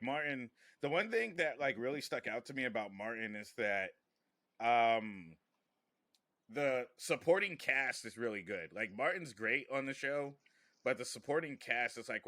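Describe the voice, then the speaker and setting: Bright-voiced man hosting a casual podcast. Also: mid-range voice, expressive, a little slow, no echo, clean studio sound. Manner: informal, profane. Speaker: podcast host.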